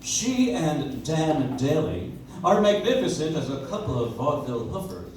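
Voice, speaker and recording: An actor playing a genial 1940s radio announcer with a polished sales patter. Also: male voice, a little high, very animated, moderate pace, darkish tone. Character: genial radio announcer selling soap flakes. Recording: echoey, distant, noisy audience-member recording.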